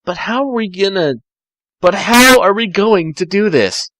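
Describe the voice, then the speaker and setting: A man voicing a brash, excitable young male cartoon hedgehog in an amateur fan fiction reading. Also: sits high, unhurried, a little hiss or hum, pitched above the performer's own voice, rough recording. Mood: concerned, willing.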